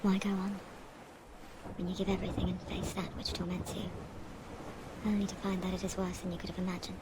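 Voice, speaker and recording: An actress playing an anguished, fearful young woman's inner voice in a video game, fragile, breathy and intense. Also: on the low side, level tone, fairly quick, noisy background, close to an everyday voice. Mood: despairing. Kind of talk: intimate dramatic video game acting.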